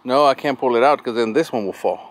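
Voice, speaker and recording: A man's voice: medium pitch, quick pace. A man chatting casually and jokingly while doing hands-on machinery work outdoors. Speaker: vlogger working on machinery. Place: outdoor gravel yard beside a metal shop building. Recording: noisy outdoor camera audio, machinery hum.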